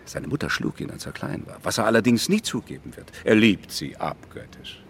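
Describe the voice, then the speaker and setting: An actor playing a self-assured, lecturing psychiatrist with a deliberate, dryly eccentric manner in a radio drama. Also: male voice, lowish voice, very animated, slight background noise. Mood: knowing, clinical.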